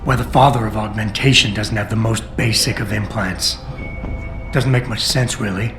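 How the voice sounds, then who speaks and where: Brief: an actor as a stern, low-voiced male agent, blunt delivery, echoey mix with music. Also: fairly even intonation, normal speed, natural voice. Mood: confrontational. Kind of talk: video game dialogue. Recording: roomy game audio with music.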